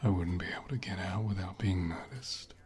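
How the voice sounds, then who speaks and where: A man playing a flirty, protective assassin in an intimate ASMR roleplay, speaking gently and often dropping into a soothing whisper. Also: low-pitched, fairly quick, slight background noise, natural voice. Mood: confiding, gently teasing.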